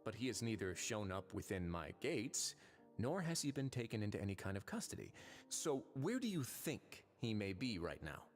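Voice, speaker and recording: An actor voicing a suave, calculating fortress warden in a video game, speaking with measured, wry courtesy and polite menace. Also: male voice, fairly low pitch, big pitch swings, soft background music. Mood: smoothly polite. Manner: measured, wryly courteous, formal.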